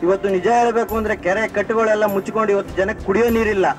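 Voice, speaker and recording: A man's voice: sits high, level tone, very fast, faint music behind. A man from rural Karnataka with a local accent, making an earnest, repetitive impromptu public appeal. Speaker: villager, member of the public.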